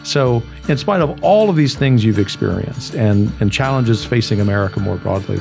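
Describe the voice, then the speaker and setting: A middle-aged man hosting an interview show, speaking in a measured, persuasive way and often repeating a word before going on. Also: on the low side, expressive, creaky, over music. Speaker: talk show host. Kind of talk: interview conversation.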